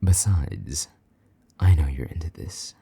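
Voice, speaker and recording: A man playing a possessive, condescending vampire knight in an intimate roleplay, taunting and commanding in a husky, breathy half-whisper. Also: extremely low pitch, very animated, slow, warm tone, exaggerated voice. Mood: impatient, teasing. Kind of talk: intimate roleplay audio drama.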